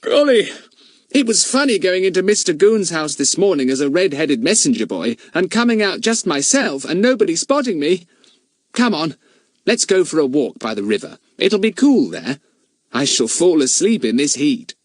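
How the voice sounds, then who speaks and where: A man's voice: on the high side, very animated, normal speed, no echo, studio quality, pitched above the performer's own voice. A man voicing a cheeky, mischievous schoolboy who teases in a falsely sweet, polite way. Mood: cheerful. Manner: mock-polite and sweetly teasing.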